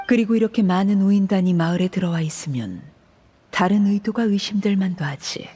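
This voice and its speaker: A woman playing a commanding, coolly composed orphanage head in a video game, speaking formally and with measure in a breathy voice. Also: mid-range voice, animated, brisk, mellow. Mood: calm, authoritative. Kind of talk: Korean video game voice acting.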